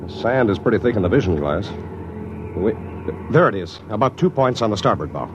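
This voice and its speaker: An actor playing an earnest, cautious anthropologist at a space outpost, precise and educated, in a 1950s radio drama. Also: male voice, on the low side, expressive, a little fast, lightly acted. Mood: wary, alert. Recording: muffled old radio, faint noise.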